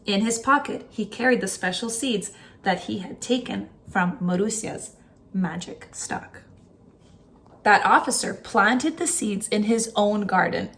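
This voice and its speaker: A woman reading a children's story aloud in an expressive, dramatic storytelling manner, like a teacher to a class. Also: mid-range voice. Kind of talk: expressive children's picture book read-aloud.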